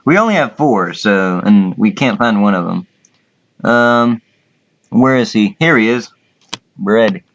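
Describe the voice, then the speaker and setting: Slow-talking man, dull lo-fi sound. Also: fairly low pitch, lively intonation. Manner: crude, swearing. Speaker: contest host. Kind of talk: casual chat, commentary. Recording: dull lo-fi voice chat audio.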